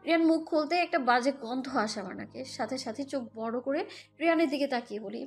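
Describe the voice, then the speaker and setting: A woman's voice: high voice, fairly quick, slightly rough. A woman playing a hurt, defiant young mother who narrates her own romantic audio story, with an emotional, scolding manner. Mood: uneasy narration. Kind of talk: first-person Bengali audio story narration and dialogue. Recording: music bed behind the voice.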